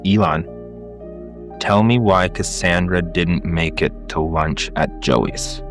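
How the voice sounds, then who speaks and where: Low-voiced, suspicious, agitated husband. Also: male voice, slow, music in the background. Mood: demanding. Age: 40s. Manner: blunt, interrogating, tense. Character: agitated, suspicious, demanding forty-year-old husband. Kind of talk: radio drama acting.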